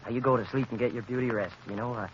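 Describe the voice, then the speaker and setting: An actor playing a carefree, dismissive fiance in an old-fashioned radio drama style. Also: male voice, mid-range voice, fairly even intonation, rapid-fire, lightly acted. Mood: breezy, reassuring. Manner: breezy, reassuring, dismissive. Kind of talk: 1930s radio acting. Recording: muffled, noisy 1930s radio recording.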